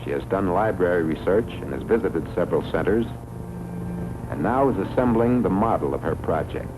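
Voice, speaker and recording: A man reads scripted 1950s educational film narration in a measured, formal way, on a vintage film soundtrack. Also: low voice, lively intonation, background noise. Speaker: documentary narrator.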